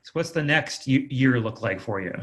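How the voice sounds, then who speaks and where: A man's voice: medium pitch, animated, moderate pace. A man hosting a podcast, chatting and asking questions in a casual interview style. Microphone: online video call recording.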